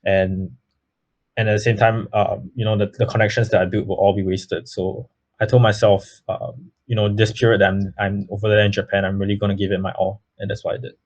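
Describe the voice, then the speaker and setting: Earnest young man, lowish voice. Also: level tone, fairly quick, a little mellow. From Singapore. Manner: earnest, hesitant with many fillers and restarts. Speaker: guest, baseball player. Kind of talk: casual interview answers. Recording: slightly muffled lo-fi audio.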